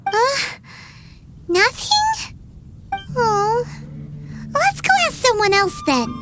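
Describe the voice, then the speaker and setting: A woman voicing a small, childlike fairy companion in an anime game, squeaky, exaggerated, cheerful and persistent. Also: sing-song, extremely slow, over music, exaggerated voice. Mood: dismayed. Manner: exaggerated, refers to herself in third person. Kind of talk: anime game dialogue acting.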